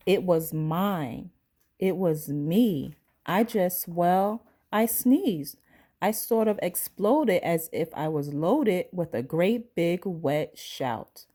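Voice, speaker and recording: Slow, low-pitched woman reading a storybook. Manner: expressive, dramatizes sounds and dialogue. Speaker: pre-K teacher reading a picture book aloud. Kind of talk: storybook read-aloud for young children.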